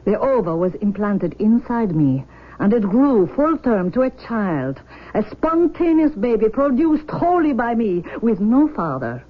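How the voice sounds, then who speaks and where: An actress in a 1970s radio drama playing an embittered, unyielding mother, with intense, measured phrasing. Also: on the high side, sing-song. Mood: solemn, insistent. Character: embittered, unyielding mother demanding her daughter back. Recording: muffled old radio broadcast.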